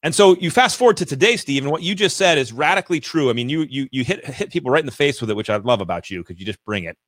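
Male interview host; eager and gushing, quick restarts, even mid-range voice, dry. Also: medium pitch, no room sound. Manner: energetic, effusive, many restarts. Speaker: podcast host. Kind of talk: interview chat.

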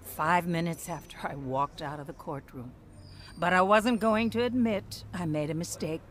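An actress playing a bitter, stubborn wife in a radio drama, with a sarcastic and defiant manner. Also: female voice, on the low side, normal speed, slight background noise, lightly acted. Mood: rueful. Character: bitter, combative, stubborn wife and later divorcee. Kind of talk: radio drama acting with reflective narration.

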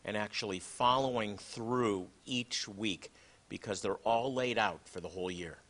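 Bright-voiced man teaching on faith. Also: lowish voice, a little slow. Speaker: host of a religious teaching show. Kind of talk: conversational religious teaching.

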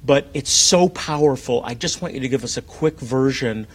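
Slightly husky American male TV host. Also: medium pitch, moderate pace. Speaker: TV talk show host.

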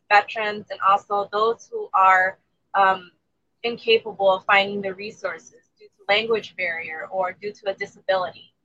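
A woman from Thailand who grew up in the U.S., speaking as an interview guest with an American accent. She is soft-spoken and trails off at the ends of phrases. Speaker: guest, community organizer. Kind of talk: interview answers. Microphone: low-level remote mic. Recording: dull low-level remote mic.

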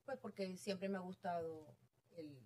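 A middle-aged woman with a Venezuelan accent, a real estate agent guest telling her story in an animated, self-amused way. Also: lowish voice, measured pace, a little hiss or hum. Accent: Venezuelan Spanish. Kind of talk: casual interview chat.

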